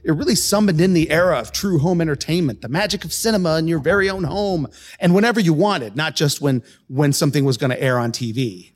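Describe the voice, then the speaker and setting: A man's voice: fairly high pitch, quick pace, lightly acted. An actor playing an eager, wry man caught up in a time-travel audio drama. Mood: nostalgic. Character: Jeff, wry man thrust through time, excitable, eager.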